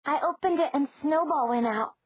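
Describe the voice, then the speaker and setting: A woman voicing a sleepy, obedient four-year-old girl in an audiobook, high and small. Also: normal speed, no room sound, natural voice. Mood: matter-of-fact. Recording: dry, phone-like narrow sound.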